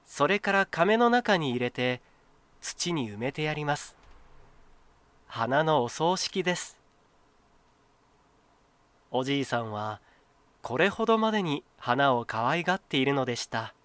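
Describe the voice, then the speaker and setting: Male narrator, animated intonation, fairly high pitch, dry close sound, expressive folktale reading. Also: unhurried, pauses often, clear, resonant tone, no room sound. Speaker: storyteller. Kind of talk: scripted children's folktale narration.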